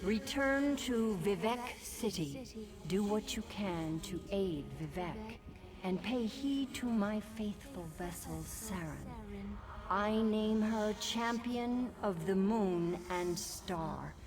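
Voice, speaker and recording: An actress playing an imperious, disdainful goddess in a fantasy video game, speaking in a formal, measured, grave manner. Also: female voice, medium pitch, lightly acted. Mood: grave, commanding. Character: imperious female goddess, stately, disdainful, commanding.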